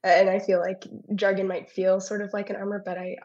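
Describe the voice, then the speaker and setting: A woman's voice: mid-range voice, little pitch movement, brisk, a little mellow, dull audio. A woman writer speaking reflectively in a panel conversation.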